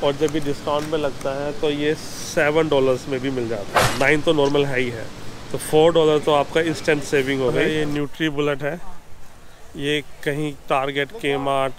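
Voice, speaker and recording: A man's voice: steady tone, moderate pace. A man in his thirties from Haryana with a Haryanvi accent, explaining things casually in a shopping vlog and mixing in English terms. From Haryana, India. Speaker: vlogger. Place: car, car park and warehouse store. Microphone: phone or camera at arm's length. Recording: noisy handheld recording with ambient rumble.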